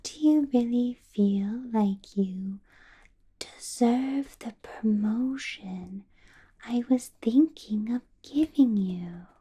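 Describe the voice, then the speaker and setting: A female vampire boss, acted by a woman in an ASMR roleplay, speaks in a breathy, husky whisper. She is very slow and deliberate, lingering over pauses and musing hums. Her tone is soft and mellow, yet possessive, condescending and coolly menacing. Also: on the high side, exaggerated voice. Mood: coolly probing.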